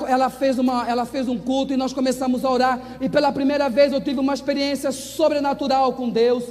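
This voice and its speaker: A man's voice: very high-pitched, darkish tone. A Brazilian man preaching an evangelical sermon and testimony in a fervent, emphatic, repetitive declamatory style. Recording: reverberant large hall.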